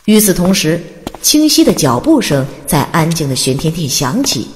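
A woman's voice: deep voice, big pitch swings, normal speed, big-room sound, slight background noise. A woman narrating a fantasy audiobook in a dramatic, scripted storytelling style. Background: music.

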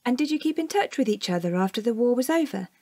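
A woman plays an earnest British child in an audio drama. The voice is high and very lively in pitch, with some huskiness. The manner is inquisitive and childlike. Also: quick pace, dry recording, clean studio sound. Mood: eager, curious. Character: curious, earnest young child questioning their father.

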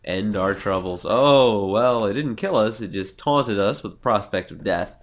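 A man's voice: on the low side, unhurried. A young man with a cold, nasal and sniffly, giving casual, sarcastic let's play commentary and swearing now and then. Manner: sarcastic, self-mocking, swears.

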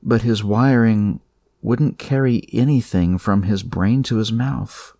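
A man narrating a horror story dramatically, in deliberate, suspenseful short phrases. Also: lowish voice, mellow, no echo, studio quality.

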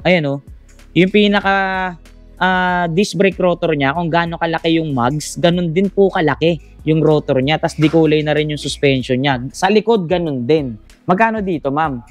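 A man's voice: on the high side, normal speed, soft background music. A man with a Filipino accent, hosting a casual vlog in an e-bike warehouse and eagerly hyping the bikes and deals to his viewers. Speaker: vlogger. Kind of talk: casual product showcase vlog.

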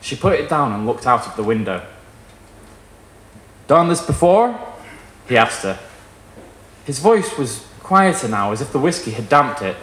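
A young man giving an expressive, theatrical live reading of literary fiction. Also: mid-range voice, unhurried, pauses often, slight background noise. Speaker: story reader. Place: small indoor performance room with wooden wall. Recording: roomy live venue sound.

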